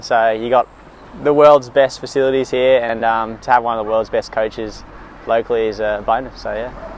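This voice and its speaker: A young man introducing himself in an easygoing, halting way beside an outdoor pool. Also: mid-range voice, a little hiss or hum. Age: young adult. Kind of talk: brief self-introduction.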